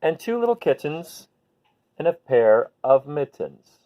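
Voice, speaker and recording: A man speaks slowly in a smooth, clean voice, as someone reading aloud to small children at bedtime. His manner is gentle and simple. The sound is narrow and phone-like. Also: fairly high pitch, very animated. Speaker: host reading picture books to children. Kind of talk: slow bedtime read-aloud with casual chat to viewers.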